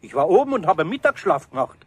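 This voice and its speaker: A mild, trusting old master carpenter with a Bavarian accent. His husky voice sits slightly high and sounds close and dry, full of hesitant ums. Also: very animated, very fast, a little mellow, no room sound, heavily acted. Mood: worried. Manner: hesitant, many ums and sighs. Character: kindly older male carpenter, mild and trusting.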